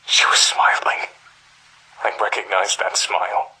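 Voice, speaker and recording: A man's voice: low-pitched, flat delivery, unhurried, pushed and bright, exaggerated voice, rough recording. An actor playing a haunted, fearful middle-aged journalist and father, recording a grave dramatic monologue on cassette tape. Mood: shaken dread. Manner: grave, brooding, breathy half-whispers. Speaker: voice actor in a game's audio tapes.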